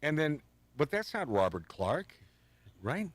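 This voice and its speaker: A man's voice: on the high side, unhurried, no room sound. A man hosting a talk radio show, casual and combative, mocking and swearing as he reacts to clips. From Pacific Northwest of the US. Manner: animated, combative, swears and mocks.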